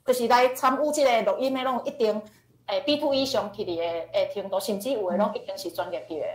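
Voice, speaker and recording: A woman's voice: fairly high pitch, quick pace. A woman with a Taiwanese accent teaching informally in an online question-and-answer session, explaining and repeating key phrases. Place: online video class. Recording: echoey online class audio.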